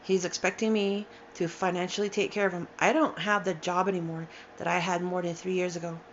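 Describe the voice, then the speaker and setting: A woman in her forties telling a painful personal story, halting and emotional, with sighs and restarts, tired and upset. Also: lowish voice, little pitch movement, highs cut off, slight background noise. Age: 40s. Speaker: storyteller sharing a personal account. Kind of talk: unscripted personal testimony.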